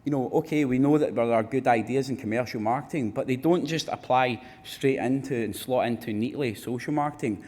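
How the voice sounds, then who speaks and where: A man with a Glaswegian accent delivers an earnest conference talk, circling back with "you know" and the odd restart. His voice sits at an ordinary middle pitch. A long reverb from the hall trails behind every phrase. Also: brisk, few pauses, darkish tone. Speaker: academic speaker at a panel. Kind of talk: conference presentation.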